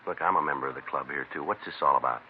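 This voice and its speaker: An actor playing a terse, no-nonsense American detective sergeant in deadpan 1950s radio drama style. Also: male voice, a bass voice, very fast. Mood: dry, probing. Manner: deadpan, matter-of-fact. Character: terse, no-nonsense male police detective sergeant on night watch. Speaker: lead actor and narrator of a radio crime drama. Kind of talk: 1950s radio acting, clipped scripted narration. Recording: noisy, muffled vintage radio recording.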